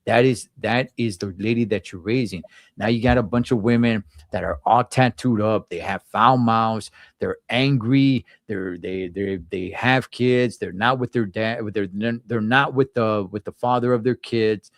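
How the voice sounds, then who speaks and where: A man's voice: lowish voice, unhurried, no room sound, studio quality. A man giving emphatic, opinionated views in a casual panel chat, often repeating words as he goes.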